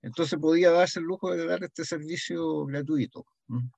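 A man's voice: a little high, moderate pace, creaky, darkish tone, no room sound. Older Chilean man reminiscing in conversation. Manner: explains with examples and frequent check-in questions. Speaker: interview guest, local heritage association member.

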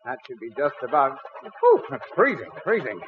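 A man's voice: mid-range voice, wildly swooping pitch, moderate pace, slight background noise. An American actor playing a fussy, blustery middle-aged father in 1940s radio comedy, sputtering and theatrical. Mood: muttering, fussy. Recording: thin, tinny 1940s radio broadcast.

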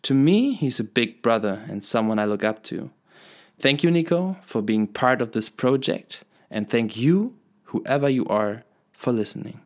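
A man reading a scripted podcast introduction in an earnest, heartfelt way. His voice sits in an ordinary middle pitch. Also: expressive, normal speed. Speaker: podcast host.

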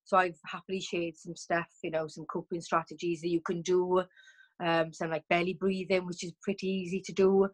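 A woman's voice: lowish voice, flat delivery, moderate pace. Chatty woman on a video call. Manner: chatty, frequent ums and restarts. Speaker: guest. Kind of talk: casual chat over a video call.

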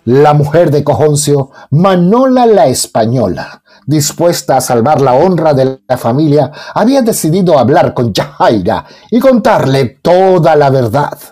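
A male narrator with a fairly high, animated voice and a mellow tone. He tells a radio soap opera in a melodramatic, scripted style. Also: unhurried, soft background music.

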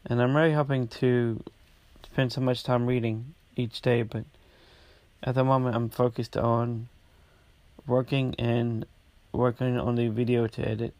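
A man hosting his own podcast, talking casually about his week, congested and halting with many restarts. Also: mid-range voice, little pitch movement, a little mellow, no echo. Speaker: podcast host. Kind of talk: casual personal update.

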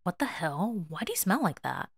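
A woman performs an irritable young man in an audio drama, blunt and indignant. He scolds like an exasperated brother, with lively swings in pitch and a dry, close sound. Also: moderate pace, gravelly, husky, no echo, exaggerated voice. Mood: irritated.

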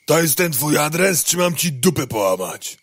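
A man's voice: a little high, sing-song, normal speed, fairly bright tone, no room sound. A man playing a rude, impatient insect courier in an audio drama, blunt and surly.

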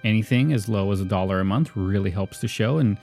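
A man's voice: on the low side, brisk, gravelly, a little mellow. A man hosting a podcast with casual, conversational commentary. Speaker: podcast host. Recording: music behind the voice. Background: faint music.

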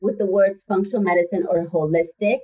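Woman, husky voice, health coach guest, distant dull lo-fi sound. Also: medium pitch, a little fast. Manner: animated storyteller who acts out voices in quotes. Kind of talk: casual interview chat.

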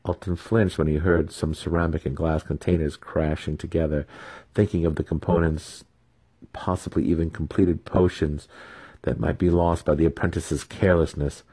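Deep, dark-voiced male storyteller. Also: fairly even intonation, normal speed, breathy edge, dry recording. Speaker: narrator, host of a book-reading series. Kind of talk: dramatic storybook narration.

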